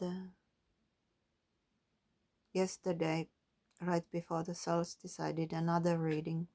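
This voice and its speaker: A woman doing a calm, musing spiritual card reading, partly reading guidebook text aloud. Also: deep voice, vocal fry. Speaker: tarot and oracle card reader.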